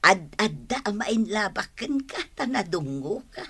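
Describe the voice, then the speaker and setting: A woman's voice: mid-range voice, sing-song, unhurried, bright, forceful tone, breathy edge, exaggerated voice. A woman playing a tired, affectionate village wife in an Ilocano comedy radio drama. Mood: tired, grateful.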